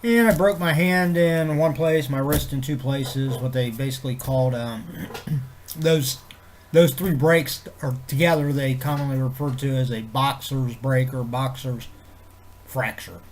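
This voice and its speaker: A man with a stuffed-up head cold talks on, his voice nasal and congested. His pitch sits mid-range for a man. He rambles in a low-key, easygoing way and swears casually. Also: a little slow, a little hiss or hum. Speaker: vlogger. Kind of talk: rambling casual chat to camera.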